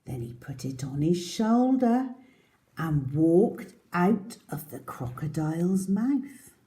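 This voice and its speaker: A woman reads a picture book for young children in a slow, lowish, mellow voice. Her delivery is expressive and dramatic, often sinking to a hush. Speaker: children's storyteller.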